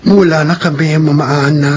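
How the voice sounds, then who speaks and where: A Filipino man playing a gentle, heartbroken male makeup artist in a radio drama. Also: fairly high pitch, fairly even intonation, normal speed, husky, dull audio. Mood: resigned, concerned.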